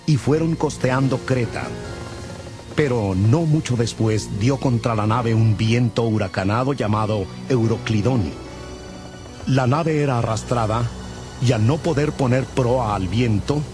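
A man narrating scripture as a scripted audio Bible, in a measured, solemn manner. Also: mid-range voice. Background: music.

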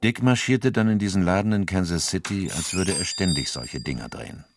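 A man's voice: sits low, a little fast, background noise. A man narrating a radio drama from a script.

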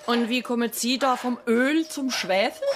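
A woman's voice: on the high side, a little slow, background noise, close to an everyday voice. An actress playing a blunt, no-nonsense Swabian woman in a radio drama. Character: brisk, no-nonsense Swabian woman leading a visit.

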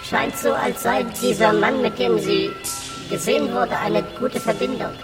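A man's voice: extremely high pitch, fairly even intonation, a little slow. An actor playing a deferential male informant reporting to his superior in a radio drama. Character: deferential male informant and tracker, Mister Ivan. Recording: long reverb, distant, music behind.

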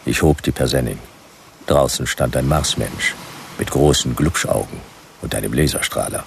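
An actor playing a laconic private detective in a radio play, dry, terse and sardonic, with noir-style narration. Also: male voice, extremely low pitch, normal speed, vocal fry. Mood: laconic narrating. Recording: radio play with background noise.

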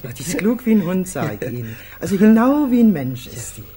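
Folksy, husky pet shop keeper. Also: male voice, high voice, very animated, brisk, dark tone, heavily acted. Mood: eager selling. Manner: colloquial, repetitive, ungrammatical patter. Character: chatty, folksy pet shop keeper pushing a tame monkey. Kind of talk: 1950s German radio comedy acting.

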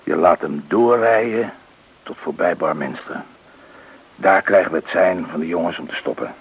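Businesslike gang leader, deep, husky, creaky, radio drama. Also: male voice, moderate pace, exaggerated voice. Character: methodical, businesslike leader of a robber gang, edgy. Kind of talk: Dutch radio play acting. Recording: dull, muffled old radio recording.

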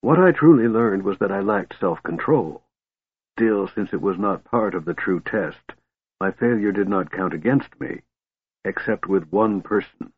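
A man voicing a frail, cold male mage in a storybook, with a soft, shattered whisper and calm, deliberate menace. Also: fairly low pitch, husky, dry recording, narrow, tinny audio. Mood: calm, bitter.

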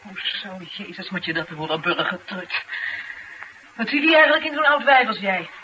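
A woman's voice: medium pitch, big pitch swings, normal speed, natural voice. An actress playing a rude, mocking young woman with a brusque, insolent manner in a Dutch radio drama. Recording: radio drama with light background noise.

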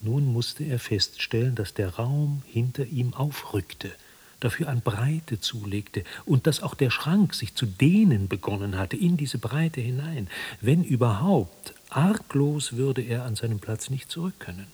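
A man with a husky, mid-pitched voice tells an absurd literary tale. His delivery is measured and deliberate, in the manner of a radio play. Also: expressive, darkish tone. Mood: dry, uneasy. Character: male narrator of an absurd, anxious tale. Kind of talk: literary radio drama narration.

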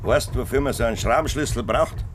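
A man's voice: quick pace, slight background noise, natural voice. An actor playing a domineering older Bavarian farmer who bullies and threatens his son, in a 1970s radio play with a Bavarian accent. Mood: menacing. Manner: bullying, stubborn, turns to low threats. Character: domineering older male Bavarian farmer, a greedy tyrant father. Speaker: farmer father.

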